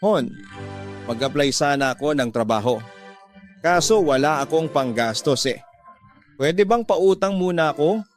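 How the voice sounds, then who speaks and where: A man's voice: a little high, lively intonation, unhurried, pauses often, faint music behind. A Filipino man voicing a jobless young boyfriend who coaxes for money in radio drama dialogue. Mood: coaxing.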